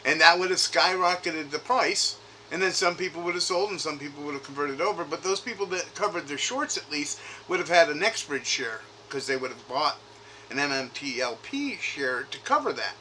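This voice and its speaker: A man with a slightly high, bright and forceful voice talks informally on a call. He is insistent and keeps pushing with questions. There is faint noise on the makeshift recording. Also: expressive, normal speed, poor audio. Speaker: caller, retail investor. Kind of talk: informal phone conversation. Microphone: makeshift recording device grabbed during call.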